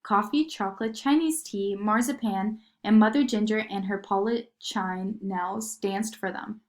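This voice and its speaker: A woman narrating a children's story in an expressive read-aloud style. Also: medium pitch, a little slow.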